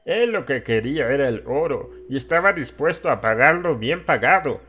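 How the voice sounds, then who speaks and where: A man voicing a hysterical old townsman in a horror audiobook, rambling conspiratorially about dark secrets. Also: mid-range voice, lively intonation, normal speed, soft background music, voice pushed up. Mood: agitated. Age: older. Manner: agitated, conspiratorial, laughing and questioning his listener. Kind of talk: dramatised horror audiobook monologue.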